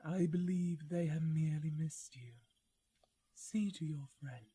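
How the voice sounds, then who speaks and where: A woman playing a gracious fantasy noblewoman in an ASMR roleplay, speaking softly and formally with measured courtesy. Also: low voice, breathy edge, exaggerated voice. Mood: calm, courteous.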